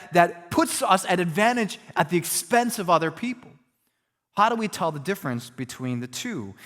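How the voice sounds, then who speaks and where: A man's voice: fairly high pitch, a bit bright, big-room sound. A man preaching as a pastor, earnest and reflective, talking through a hard question in a conversational way. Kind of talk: sermon.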